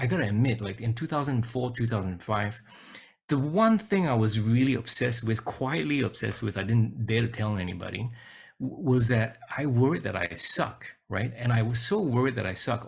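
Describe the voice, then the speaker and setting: A man's voice: mid-range voice, creaky, slightly rough. A man from Singapore presenting a webinar over a video call, talking casually and hesitantly, often repeating his words.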